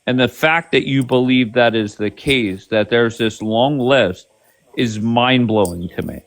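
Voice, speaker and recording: A man's voice: medium pitch, measured pace. A man hosts a heated live-stream debate, talking bluntly and swearing freely. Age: 50s. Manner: blunt, profane, pushy.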